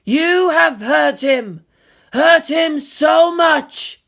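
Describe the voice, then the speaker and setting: A woman plays an unearthly teenage girl from a sci-fi storybook, solemn and vengeful. The voice is high and forceful, and every phrase is slow and deliberate, like a sentence being passed. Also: expressive, creaky, heavily acted. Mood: solemn menace.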